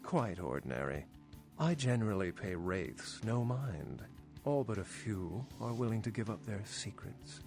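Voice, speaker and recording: An actor playing a scholarly, mysterious male vampire historian in a video game, speaking in measured, formal phrases. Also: fairly low pitch, big pitch swings, gravelly, music in the background, heavily acted. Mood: intrigued, aloof.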